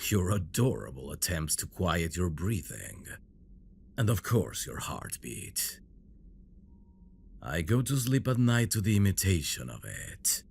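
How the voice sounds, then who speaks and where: A very deep-voiced dragon prince, acted by a man, obsessive and menacing, in an intimate fantasy audio roleplay. He broods slowly and possessively, with a gravelly rasp and wide swings in intonation. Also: darkish tone, a little hiss or hum, full character voice. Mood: predatory, teasing. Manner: slow, brooding, menacing endearments, dropping into whispers. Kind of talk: ASMR roleplay, dramatic monologue.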